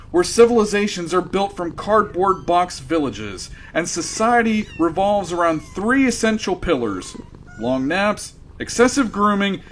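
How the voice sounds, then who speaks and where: A man's voice: high-pitched, fairly bright tone, slight background noise. A man playing a wry, theatrical narrator of a sci-fi comedy radio drama.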